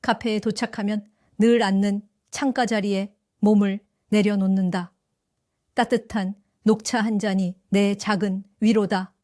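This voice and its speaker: An older woman narrating her own story in an audio drama, calm and reflective. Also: medium pitch, a little slow, no room sound, studio quality. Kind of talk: scripted first-person audio drama narration.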